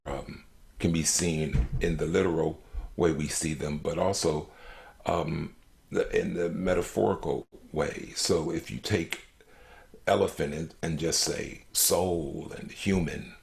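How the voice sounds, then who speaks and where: A man, a stage actor, talking reflectively in an interview, halting often with long hesitations and ums. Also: a bass voice, lively intonation, gravelly, a little mellow.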